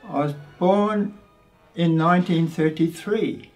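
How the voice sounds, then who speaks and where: A man, speaking in a deliberate, reminiscing way. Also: a little high, darkish tone, soft background music, low-quality recording. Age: 80s. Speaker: interviewee. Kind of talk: reminiscing interview.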